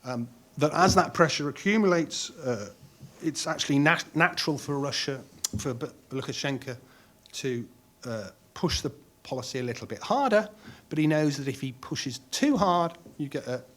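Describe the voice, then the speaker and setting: Measured man giving a panel talk. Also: fairly high pitch, animated, a bit bright. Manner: measured, with frequent ums and restarts. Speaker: panel speaker.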